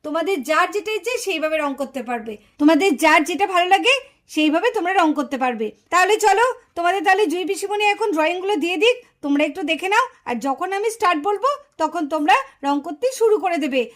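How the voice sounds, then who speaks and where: A woman's voice: very high-pitched, lively intonation, fast. A woman voicing a cheerful, bossy but kind auntie doll who instructs children in a puppet story. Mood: bright, encouraging. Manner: exclaiming, instructing, chatty.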